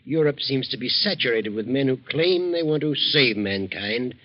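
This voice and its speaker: An actor playing a kindly older gentleman and benefactor, courtly and measured, in a 1940s radio drama. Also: mid-range voice, expressive, natural voice. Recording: dull old radio recording.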